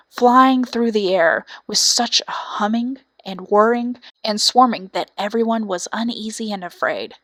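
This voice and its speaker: A woman's voice: mid-range voice, dry recording. Woman narrating a fairy tale, dry sound. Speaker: audiobook narrator. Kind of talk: scripted storybook narration.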